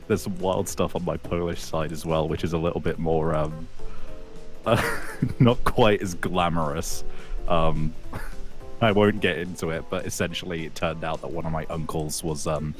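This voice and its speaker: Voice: sits low, a little slow, a little mellow, faint music behind. A speaker with an English accent chatting casually in a group stream, laughing and rambling. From England. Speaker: guest.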